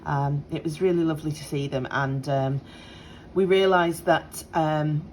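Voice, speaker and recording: Woman, late 30s, from the UK, a bit croaky; lowish, casual, apologetic home vlog delivery, faint appliance noise. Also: fairly even intonation, unhurried, darkish tone, rough recording. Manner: chatty, apologetic, many ums and restarts. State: slightly croaky. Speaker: budgeting vlogger. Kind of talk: casual direct-to-camera update. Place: home on a Sunday morning. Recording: faint appliance hum behind. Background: dishwasher and washing machine running.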